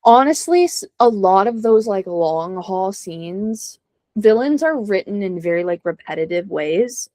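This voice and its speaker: A woman's voice: medium pitch, expressive, a little slow, darkish tone, no room sound. Young woman talking shop, laughs a lot, dead dry close sound. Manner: chatty, self-deprecating, laughs often. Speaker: guest actor. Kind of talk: casual interview chat.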